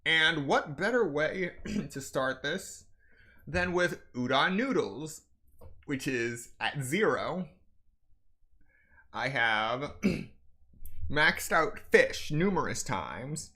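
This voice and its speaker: A man giving live game stream commentary in a deliberate, halting way. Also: a little high, animated, bright, forceful tone. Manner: deliberate, halting phrasing with frequent throat clearing. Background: faint game music.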